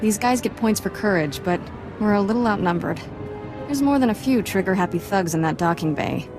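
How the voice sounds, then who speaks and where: A woman's voice: medium pitch, animated, brisk, lightly acted. An actress playing a cocky, wisecracking young female agent in a video game, with a wry, breezy manner. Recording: music playing behind.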